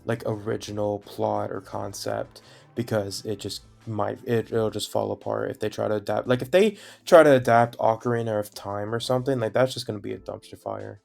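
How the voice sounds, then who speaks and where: Young male co-host, low gravelly voice, hedging opinions, casual. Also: normal speed, darkish tone, faint music behind. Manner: hedging, filler-heavy, opinionated. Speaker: podcast co-host. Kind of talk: casual chat.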